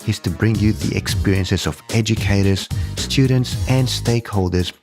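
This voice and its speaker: A man's voice: lowish voice, normal speed, music in the background. A man hosting a podcast, reading an emphatic intro and then chatting loosely with fillers. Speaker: podcast host. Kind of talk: scripted intro then casual chat.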